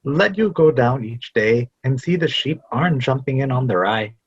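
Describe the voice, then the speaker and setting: A man's voice: mid-range voice, expressive, moderate pace, slightly rough, no room sound, dull audio. A man playing a firm, practical young seafaring son in a stage play. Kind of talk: stage drama read as virtual play.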